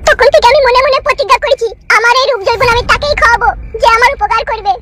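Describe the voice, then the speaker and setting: A woman's voice: extremely high pitch, rapid-fire, bright, forceful tone, a little hiss or hum. A woman voicing a cunning young wife in a cartoon comedy, coaxing and wheedling as she sets her terms. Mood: scheming. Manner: coaxing, wheedling, then commanding. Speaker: cartoon character. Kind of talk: Bengali cartoon comedy dubbing.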